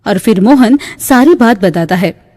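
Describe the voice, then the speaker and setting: Slow, bright woman storyteller with reverb. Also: medium pitch, expressive. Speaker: story narrator. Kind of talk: slow scripted moral-story narration for a cartoon. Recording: long reverb.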